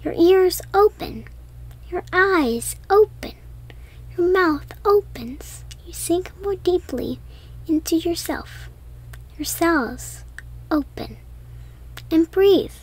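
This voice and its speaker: A woman with a high, light voice narrates a guided meditation for children. She speaks very slowly and leaves pauses between short phrases. Her delivery is gentle and hushed, often breathy. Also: animated, rough recording.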